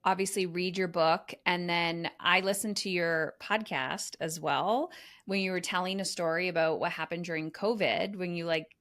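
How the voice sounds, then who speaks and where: A woman's voice: lowish voice, fairly even intonation, normal speed, a bit bright. A woman hosting a podcast interview, prompting her guest with short affirmations and follow-up questions. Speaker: podcast host. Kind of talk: casual interview conversation.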